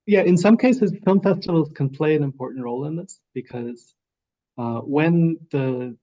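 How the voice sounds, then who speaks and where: A man answering as a festival programmer on an online panel, unhurried and hesitant, filling pauses with ums and uhs. His voice is mellow and relaxed in tone, and the video-call audio comes through a little dull and lo-fi. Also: a little high. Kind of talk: casual panel discussion over video call. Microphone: video call microphone.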